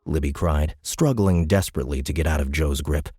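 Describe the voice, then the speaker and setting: A man with a deep voice reads a science fiction story as an audiobook narrator. His delivery is scripted and dramatic. The sound is dry, with hardly any room around it. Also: a little fast, mellow, breathy edge, no room sound.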